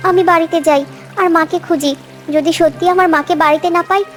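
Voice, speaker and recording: A woman's voice: extremely high pitch, steady tone, fast, faint music behind. A woman voicing a brave little cartoon girl. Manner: earnest, pleading, bold. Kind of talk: cartoon voice acting.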